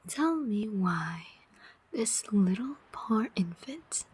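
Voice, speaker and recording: A woman's voice: medium pitch, big pitch swings, darkish tone, heavily acted. A woman voicing a cunning, taunting female demon collector of souls in a whispered ASMR roleplay. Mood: smug. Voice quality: breathy whisper. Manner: slow, deliberate, taunting.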